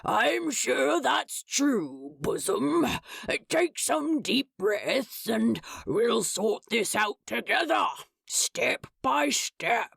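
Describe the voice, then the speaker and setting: A woman voicing a calm, scaly demon case manager in a fantasy story, with a gravelly, husky, patient delivery. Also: fairly high pitch, dry recording, voice pushed up, heavily acted. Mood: patient, soothing. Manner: slow, patient, unhurried official.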